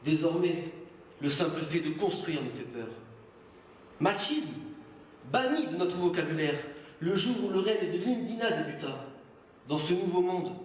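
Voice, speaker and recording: A man's voice: on the high side, far from the mic. A man performing a wounded outcast named Zarak, declaiming theatrically on a stage. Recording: echoey room, from afar, faint noise.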